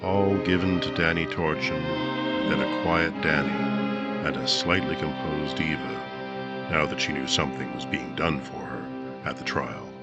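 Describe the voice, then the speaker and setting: An actor playing a grave newspaper reporter, narrating a 1950s true-crime radio drama. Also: male voice, a bass voice, measured pace, over loud music. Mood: grave, measured.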